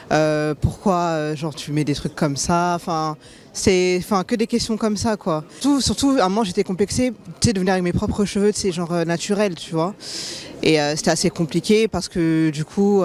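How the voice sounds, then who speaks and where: A woman's voice: on the low side, darkish tone. A young woman being interviewed on the street, speaking hesitantly with lots of fillers and casual slang.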